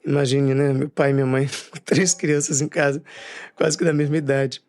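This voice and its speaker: A man from Bahia with a Bahian accent telling family stories in a relaxed interview, chatty and quick to laugh. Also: medium pitch. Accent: Bahian Portuguese. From Bahia, Brazil. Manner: chatty, laughs often, fillers like "né" and "assim". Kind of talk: casual storytelling interview.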